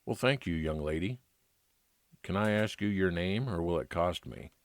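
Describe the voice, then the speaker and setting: Noir radio lead: wry, weary male detective, played by a man, low voice, dry close sound. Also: lively intonation, normal speed, dry recording. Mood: polite, probing. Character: world-weary, wry male private investigator narrating his case. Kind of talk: old-time radio noir narration and dialogue.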